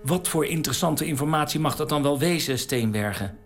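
An actor playing a formal, cautious head of government in a radio drama, stern and guarded. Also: male voice, fairly high pitch, brisk, soft background music, lightly acted.

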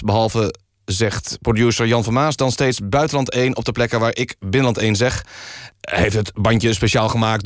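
Deadpan male presenter for a satirical radio magazine, mid-range voice, very dry studio recording. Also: mid-range voice, no room sound. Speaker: radio host.

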